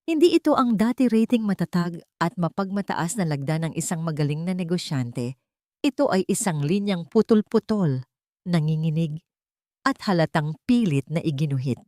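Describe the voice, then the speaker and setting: Low-voiced woman narrating a drama, dry sound. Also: lively intonation, normal speed, a little mellow, no echo. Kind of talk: dramatic scripted story narration.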